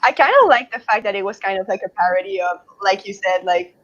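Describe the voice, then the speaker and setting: A woman co-hosting a book club chat in a casual, opinionated, animated way, with lots of hedging. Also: mid-range voice, fast talker, dull audio, faint music behind.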